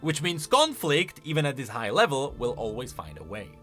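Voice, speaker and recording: Male lore commentator: casual and animated, very lively pitch swings, forceful bright tone, thinks aloud. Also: moderate pace, faint music behind. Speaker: video host, game lore commentator.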